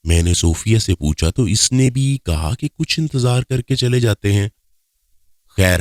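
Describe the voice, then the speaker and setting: A man's voice: lowish voice, darkish tone, no echo, clean studio sound. A man reading a scripted first-person story as its narrator.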